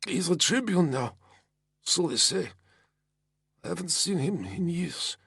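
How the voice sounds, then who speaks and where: A man doing a dying soldier, weak and gravelly. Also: a little high, lively intonation, a bit bright, no echo, studio quality, pitched above the performer's own voice, full character voice. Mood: wry, strained. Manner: slow, grinning gallows humor. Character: dying wounded male enemy soldier, wry and friendly.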